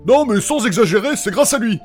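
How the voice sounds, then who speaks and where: An actor playing a cheeky, pun-loving adventurer with a teasing manner in a humorous fantasy audio drama. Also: male voice, high voice, animated, fairly quick, breathy edge, soft background music. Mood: wry. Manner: teasing, hesitant, wisecracking. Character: cheeky young male joker, pun-obsessed adventurer.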